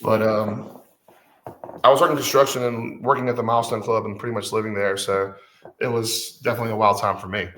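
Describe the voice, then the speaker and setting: A man's voice: fairly low pitch, steady tone, brisk, a bit bright, echoey room, a little hiss or hum. A man in his forties, a guest musician, talking casually and hesitantly with many fillers and restarts. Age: 40s. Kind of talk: casual conversation.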